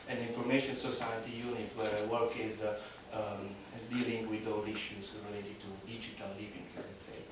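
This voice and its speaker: A man giving a conference presentation, speaking haltingly with many fillers and restarts. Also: on the low side, noisy background. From Italy. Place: large conference hall. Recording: echoey hall, speaker far off.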